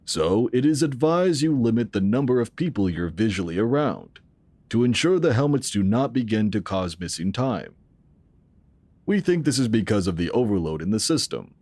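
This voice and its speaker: A calm, assured founder in a sci-fi audio drama. His voice is low and swings expressively as he explains things in measured phrases, recorded close and dry. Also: no room sound. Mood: explanatory, assured. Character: calm, assured male founder of a futuristic tech organization. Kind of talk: scripted audio drama acting.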